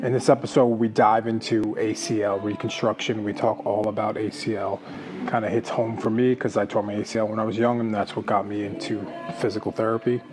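A man's voice: on the low side, flat delivery, a little fast. A man hosting a health podcast, a physical therapist, introducing the episode and his guest in a loose, casual way. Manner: casual, loose phrasing with "kinda". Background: music bed.

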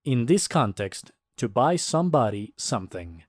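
A man narrating a scripted story for language learners, with careful, deliberate enunciation. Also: mid-range voice, big pitch swings, a little mellow, no echo, clean studio sound.

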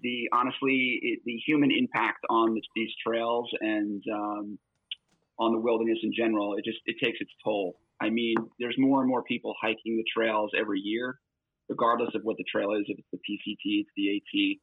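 A man's voice: on the low side, flat delivery, a little fast, no echo. A man talking as a hiker guest on a podcast, earnest and full of fillers, heard over a remote call. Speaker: guest, long-distance hiker. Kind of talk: conversational interview. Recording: tinny phone line, dry.

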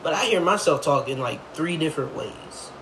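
A young man in casual chat, animated and jokey, often laughing. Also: fairly high pitch, moderate pace. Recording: faint background noise.

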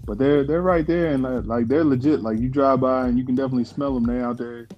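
A man's voice: mid-range voice, fairly even intonation, fast talker, highs cut off, faint music behind. A man in casual podcast chat, rambling with many fillers and restarts.

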